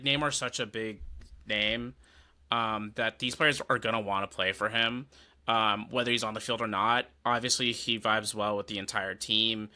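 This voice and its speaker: A man joining a football podcast as an outside voice, answering in a measured, thoughtful way with frequent pauses. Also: lowish voice, pushed and bright. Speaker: podcast guest. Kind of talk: casual football discussion.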